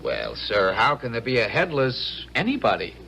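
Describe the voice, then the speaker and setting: A man's voice: mid-range voice, wildly swooping pitch, moderate pace, vocal fry, sharp tone, heavily acted. A man playing a modest young army private in a radio drama, respectful and plain-spoken. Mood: shy, respectful. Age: young adult. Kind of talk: 1970s radio drama acting. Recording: noisy vintage radio broadcast.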